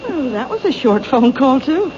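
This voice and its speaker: An actress playing a nosy, chatty, wisecracking American diner waitress in a radio drama. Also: female voice, sits high, big pitch swings, moderate pace, a little mellow. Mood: teasing, nosy. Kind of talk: old-time radio drama acting. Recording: noisy, muffled vintage radio broadcast.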